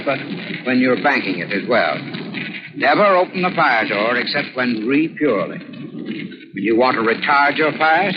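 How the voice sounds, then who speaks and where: A man reading a scripted 1930s radio sponsor message as an expert, formal and instructive. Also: medium pitch. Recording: noisy, muffled 1930s radio recording.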